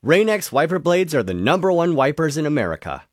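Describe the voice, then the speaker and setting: A man's voice: a little high, very animated, brisk, no echo, clean studio sound. A man reading a tongue-in-cheek scripted commercial as the announcer.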